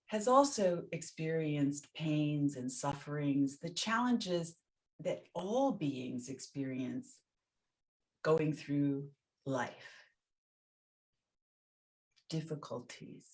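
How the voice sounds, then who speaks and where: Slow, low-voiced woman guiding meditation. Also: animated. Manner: gentle, soothing, pausing between short phrases. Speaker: meditation teacher leading a guided session. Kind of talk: guided meditation.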